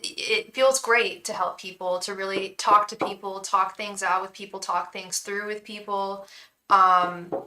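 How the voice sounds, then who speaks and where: A young woman with a calming voice, reading tarot live for viewers in a chatty, reassuring way, full of restarts and "um"s. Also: medium pitch, roomy sound. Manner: addresses viewers fondly as "love". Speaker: tarot reader and psychic.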